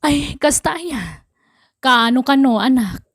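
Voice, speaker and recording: An actress playing a humble, patient mother in an Ilocano drama, speaking in a gentle, unassuming way. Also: high voice, lively intonation, slow, breathy edge, heavily acted. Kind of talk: Ilocano radio drama acting.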